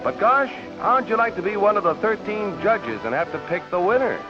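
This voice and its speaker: Animated, jaunty American newsreel man. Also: sits high, moderate pace. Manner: jaunty, folksy, playful. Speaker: newsreel narrator. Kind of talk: light 1940s newsreel feature commentary. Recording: dull 1940s newsreel soundtrack. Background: music.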